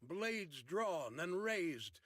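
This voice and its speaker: A man's voice: sits high, very animated, drawn-out words, fairly bright tone, no echo, studio quality, full character voice. A man playing a grandiose storyteller narrating a martial arts tale in a game, dramatic and declamatory. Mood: grand, dramatic.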